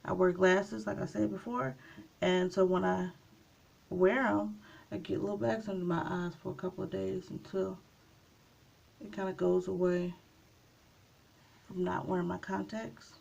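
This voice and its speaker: A woman vlogger talks at an easy, slow pace while she does her makeup. Her voice is gravelly and a little raspy from a coming cold, and her chat is loose and meandering, with little pauses. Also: lowish voice, flat delivery, darkish tone, lo-fi sound. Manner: unhurried, chatty, trailing off with small pauses. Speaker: beauty vlogger. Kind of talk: casual makeup tutorial chat.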